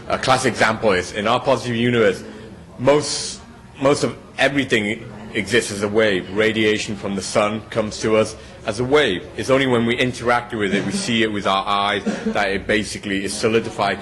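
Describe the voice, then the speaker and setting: A middle-aged man lays out a scientific theory in a long, halting explanation. His voice sits a little low, and he keeps pausing on fillers and restarting his sentences. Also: fairly bright tone. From England. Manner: hesitant, many fillers and restarts. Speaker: physicist interviewee.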